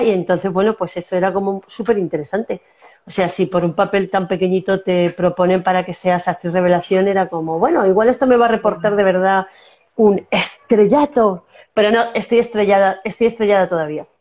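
A woman's voice: mid-range voice, dark tone. A woman from La Mancha with a Spanish accent from that region, an actress telling stories in a casual interview, often restarting and using fillers. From Castilla-La Mancha, Spain. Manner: storytelling, many restarts and fillers. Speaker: actress guest.

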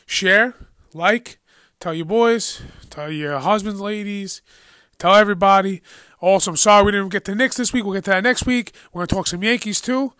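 A man hosting a casual sports podcast, excitable and slangy, sounding sniffly from a cold. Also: high voice, normal speed, no room sound. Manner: excitable, slangy, says "bro" a lot. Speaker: podcast host. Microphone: podcast microphone.

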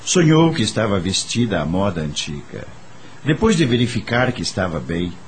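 A man's voice: fairly low pitch, slight background noise. A man with a Brazilian accent narrating a radio drama as a scripted storyteller.

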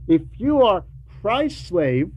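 Slow, higher-pitched man preaching. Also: sing-song, darkish tone, husky. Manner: deliberate, with pauses between short phrases and repetition for emphasis; didactic, poses rhetorical questions. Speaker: religious teacher reading a translated homily to a class. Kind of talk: homily, teaching a class. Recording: dull lo-fi recording with faint noise.

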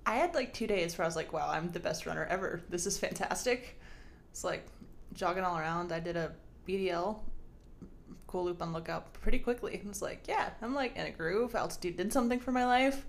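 A woman's voice: lowish voice, a little fast, vocal fry, slight background noise. A woman co-hosting a casual podcast, answering her co-host with teasing quips.

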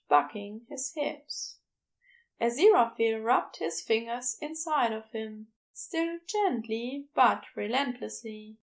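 Slow, creaky woman narrating fiction. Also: fairly high pitch. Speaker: fan fiction audiobook reader. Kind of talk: scripted story narration.

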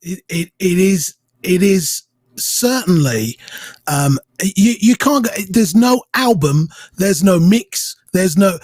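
High-voiced husky interviewer. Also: male voice, lively intonation, a little mellow, no echo. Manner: halting, many restarts, enthusiastic. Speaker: radio interviewer, host. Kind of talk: casual interview.

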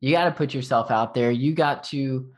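A man's voice: medium pitch, moderate pace. Texan male podcast host, laid-back, rambly, slangy, easygoing chat. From Texas. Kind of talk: casual interview chat.

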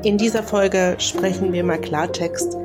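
A woman's voice: mid-range voice, fairly even intonation, a little slow, loud music behind the voice. Woman with a cold, chatty podcast host. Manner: hesitant, many fillers and restarts; clears throat now and then. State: has a cold. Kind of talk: solo podcast talk.

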